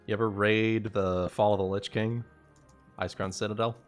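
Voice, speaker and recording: A man's voice: on the low side, flat delivery, normal speed. A man in casual gamer chat on a livestream, jokey and prone to dramatic quoting. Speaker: host of a tabletop game livestream. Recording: music behind the voice. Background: background music.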